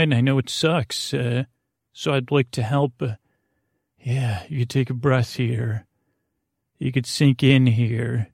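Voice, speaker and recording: A man hosting a bedtime podcast with a creaky voice, meandering and whimsical as he drifts haltingly from one tangent to the next. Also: mid-range voice, dry recording, clean studio sound. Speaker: podcast host. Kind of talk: rambling bedtime podcast monologue.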